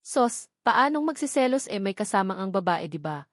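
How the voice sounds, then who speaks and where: A woman's voice: medium pitch, sing-song, moderate pace, dry recording, studio quality, lightly acted. A Filipino woman voicing a proud, sarcastic, rich young heroine in storybook dialogue. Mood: nonchalant.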